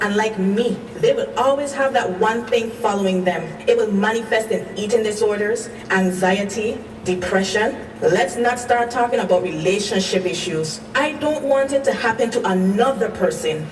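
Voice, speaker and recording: A woman's voice: medium pitch, lightly acted. A woman playing a weary, impassioned doctor who hosts a radio call-in show, earnest and emphatic, in a radio drama. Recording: echoey hall, distant, faint noise.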